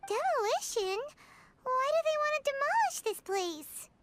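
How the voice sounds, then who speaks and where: A woman's voice: very high voice, sing-song, measured pace, a bit bright, a little hiss or hum, heavily acted. A woman voicing a small, childlike fairy companion in a video game, bubbly, blunt and exclamatory. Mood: indignant. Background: game music.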